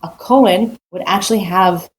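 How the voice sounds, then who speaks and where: A woman's voice: mid-range voice, normal speed, a little hiss or hum. An adult woman teaching a weekly Torah portion in a casual, earnest way, with frequent restarts and rhetorical questions. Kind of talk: casual spoken Torah talk.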